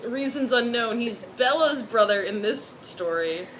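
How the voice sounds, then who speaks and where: Woman w bright higher voice doing a mock dramatic fanfic read, amused side comments, bit of noise behind. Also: expressive, measured pace, rough recording. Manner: mock-serious, breaking into asides and laughter. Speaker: co-host reading fan fiction aloud. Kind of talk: dramatic reading with amused asides.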